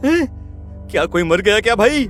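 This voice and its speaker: A man playing an unhinged, ominous village farmer in an animated horror story, with a ranting, dramatic delivery. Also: high-pitched, moderate pace, faint music behind. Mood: ominous, angry.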